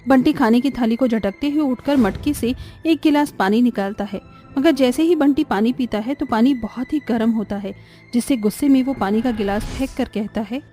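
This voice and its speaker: A woman reading scripted narration for an animated story as the storyteller. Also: fairly high pitch, normal speed, soft background music.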